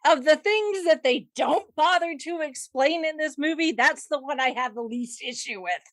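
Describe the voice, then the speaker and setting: A woman chatting casually about films as a podcast panel host, often halting and trailing off mid-sentence. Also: high voice, lively intonation, fairly bright tone, no room sound, clean studio sound. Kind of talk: casual film discussion.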